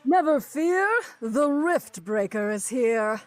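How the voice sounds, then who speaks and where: A bold heroic champion from a video game acted out by a woman declares itself grandly. The delivery is very slow and dry, with faint music underneath. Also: fairly high pitch, animated, darkish tone, no echo. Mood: heroic, bombastic.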